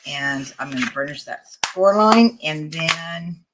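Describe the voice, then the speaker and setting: A woman's voice: low voice, expressive, slow, very noisy. An older woman teaching a craft tutorial, chatting and greeting viewers as she works, her voice wearing thin. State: losing her voice. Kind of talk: live-streamed craft tutorial.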